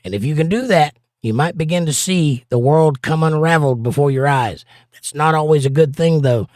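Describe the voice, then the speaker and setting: A man with a hoarse, partly lost voice, hosting a casual livestream and giving plain-spoken, folksy advice while reading viewer comments. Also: fairly high pitch, normal speed, darkish tone, dry recording, clean studio sound. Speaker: livestream host and pastor.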